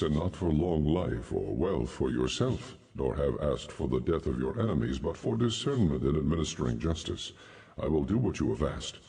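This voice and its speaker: Very deep, gravelly voice of God. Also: male voice, mellow, heavily acted. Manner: solemn, measured pronouncement. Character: God speaking to a king, solemn, majestic, benevolent. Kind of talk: dramatized scripture reading.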